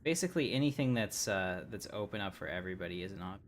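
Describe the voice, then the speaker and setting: Easygoing low-voiced male streamer. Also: lively intonation, fast talker, a little hiss or hum. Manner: rambling, easygoing, many fillers. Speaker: livestream gamer. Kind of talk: casual gameplay commentary.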